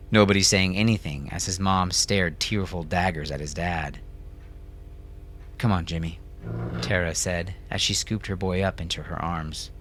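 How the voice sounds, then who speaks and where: A man's voice: deep voice, fairly bright tone, a little hiss or hum. A man reading scripted fiction as an audiobook narrator.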